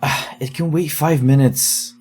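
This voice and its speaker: A man's voice: on the high side, fairly even intonation, measured pace, darkish tone, husky. A man playing a reluctant investigator who reads eerie case files aloud in an audio drama, then argues warily with a friend. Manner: reluctant, wary, exasperated in banter. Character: young man digging through eerie case recordings. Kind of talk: audio drama narration and dialogue.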